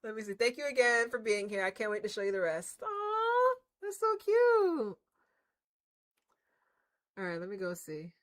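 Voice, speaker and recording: A woman's voice: fairly high pitch, sing-song, moderate pace, long pauses, a bit bright. A woman streaming a game, chatting and reacting in a casual, slangy, teasing way. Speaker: streamer playing a visual novel.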